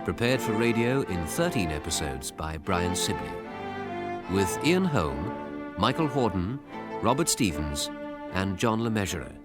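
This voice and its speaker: A man's voice: deep voice, big pitch swings, unhurried. A man announcing titles and credits for a radio drama in a formal style. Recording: loud music behind the voice.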